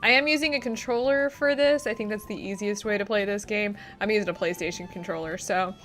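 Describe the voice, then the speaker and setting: A woman's voice: mid-range voice, fairly even intonation, fairly quick, a bit bright. A woman hosting a let's play, giving casual game commentary. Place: voice-over on game capture. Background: game music.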